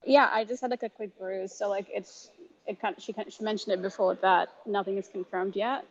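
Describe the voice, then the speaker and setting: A woman's voice: medium pitch, fairly even intonation, brisk. A woman talking casually and unscripted over dull, lo-fi online audio.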